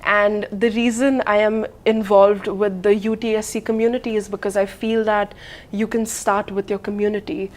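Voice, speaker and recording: A woman's voice: medium pitch, little pitch movement, normal speed, a little mellow. A young adult woman student talking earnestly in a casual conversation, with many "like" and "you know" fillers.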